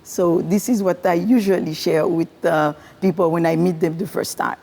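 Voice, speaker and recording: A woman's voice: on the low side, steady tone, normal speed, gravelly, dark tone. A woman from Haiti, a physician and farmer, answering interview questions earnestly and repeating phrases in lists. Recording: long hall reverb.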